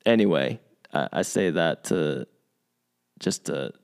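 A man with a notably low, deep voice talks in a loose, unscripted way, circling back on his own phrases. He reflects aloud as a podcast co-host. He grew up in Virginia. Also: slow, creaky, clean tone. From United States, grew up in Virginia. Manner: thoughtful, many restarts and asides, animated when quoting. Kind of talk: casual conversational chat.

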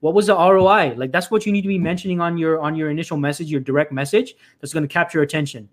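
A man's voice: on the high side, fast. A man hosting a live stream as a career coach, giving emphatic advice to job seekers, often repeating himself and calling his audience "folks.". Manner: frequent restarts and filler "uh".

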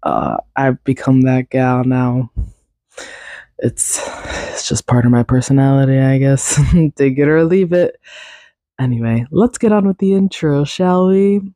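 A young woman hosting a casual solo podcast, rambling and self-deprecating, her voice raspy and congested from a cold. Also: very deep voice, animated, measured pace, warm tone, dry recording, clean studio sound. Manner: rambling, self-deprecating, many fillers and laughs. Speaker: podcast host.

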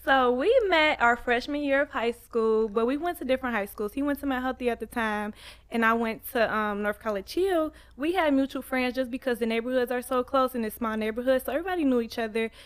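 Young woman guest telling her story, high smooth voice, lively and giggly. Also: a little fast. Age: 20s. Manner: animated storyteller, giggly, acts out quotes. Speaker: podcast guest. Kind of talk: casual storytelling.

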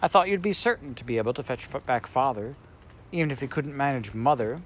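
A man's voice: mid-range voice, expressive, fast, a little hiss or hum, close to an everyday voice. A man voicing an earnest young girl in an audiobook, speaking in a pleading, eager way.

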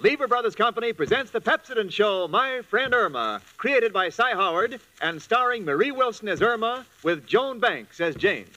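A man's voice: high-pitched, expressive, moderate pace, hardly any pauses, sharp tone, highs cut off. An American man announcing a 1940s radio show with punchy sponsor slogans. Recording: faintly noisy old radio recording.

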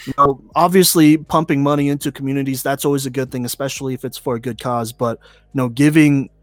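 An earnest man co-hosts a podcast in casual, fill-heavy speech. His voice sits at a middle pitch and is recorded dry and close. Faint music plays underneath. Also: steady tone, fast, dry recording. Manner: earnest, many "you know" fillers. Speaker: podcast co-host. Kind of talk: casual opinion talk.

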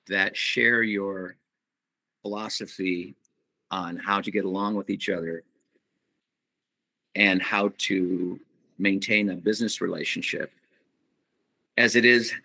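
A middle-aged man, a band singer, giving reflective interview answers and pausing often to find his words. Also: fairly low pitch, bright, forceful tone. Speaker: musician guest, band singer.

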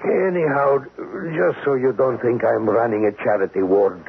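An actor playing a kindly older cafe-owning uncle in a radio drama, with a halting, emphatic, paternal way of talking. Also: male voice, medium pitch, expressive, vocal fry. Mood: jovial. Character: kindly, fussing older uncle who runs a neighborhood cafe. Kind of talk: vintage radio drama acting. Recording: muffled, narrowband noisy old radio recording.

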